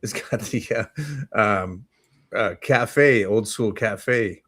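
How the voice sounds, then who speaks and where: A man co-hosting a casual morning talk show, speaking in an informal, rambling way with halting phrasing. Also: lowish voice, a bit bright.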